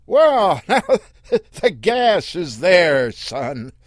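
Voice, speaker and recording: A grizzled old desert hermit with a drawl hollers high and loud across the sand, as a male narrator puts him on. His cackling voice leaps way up in pitch and swings wildly. It sounds close and dry. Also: big pitch swings, drawn-out words, dry recording, full character voice. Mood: hollering. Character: grizzled old desert hermit man, half-blind, laughing grimly.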